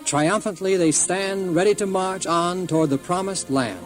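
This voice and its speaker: A man's voice: high voice, moderate pace, soft background music. A man narrating a scripted children's Bible story in a solemn, dramatic storytelling manner.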